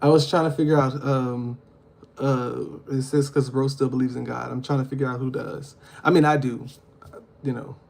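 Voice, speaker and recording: A man chats live to his viewers, his voice pitched in an ordinary middle range. He reads comments out loud and answers each one in turn. He talks casually, filling the pauses with ums and uhs. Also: steady tone, vocal fry. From Louisiana. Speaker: livestream host. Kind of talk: casual livestream chat reading comments.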